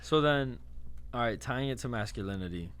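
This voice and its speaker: A man with a slight Spanish-influenced accent, co-hosting a podcast in easygoing casual chat, joking and quick to agree. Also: medium pitch, expressive, a bit bright, clear, resonant tone. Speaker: podcast co-host.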